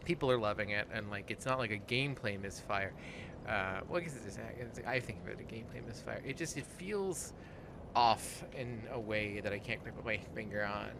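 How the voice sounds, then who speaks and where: A person with a man's voice hosting a casual gaming let's play, talking in a halting way and swearing freely. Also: on the low side, vocal fry, background noise. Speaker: host and player of a gaming show.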